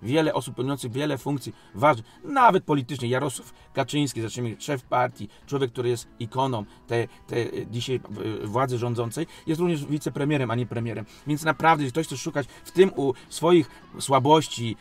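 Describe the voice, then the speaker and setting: Guy in an interview, medium pitch, defensive and emphatic, repeats himself, bit of music in the back. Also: fairly quick, few pauses. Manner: emphatic, defensive, repeats phrases and asks rhetorical questions. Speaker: sports league company president and club coach. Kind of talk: unscripted studio interview answers.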